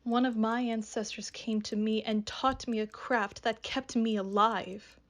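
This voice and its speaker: Actress as a slightly high, hushed, menacing female spirit seeking vengeance. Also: steady tone, unhurried. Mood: ominous. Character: vengeful ghostly woman, ancestral witch. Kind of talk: radio drama acting.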